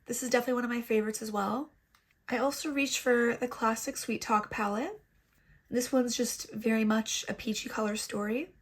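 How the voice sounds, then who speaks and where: A woman vlogger talks through a makeup tutorial in a chatty, self-deprecating way, her voice a touch high and audibly stuffed up from congestion. She sounds like she is at home, recorded on a laptop microphone. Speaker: beauty vlogger. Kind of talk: casual makeup tutorial chat. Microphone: laptop built-in mic.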